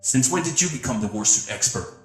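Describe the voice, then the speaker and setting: A man acts an indignant, blunt vigilante hero in a sci-fi game. His medium-pitched voice moves up and down a lot. It rings with echo over faint music. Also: lively intonation, a little fast, lightly acted. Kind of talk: game cutscene dialogue.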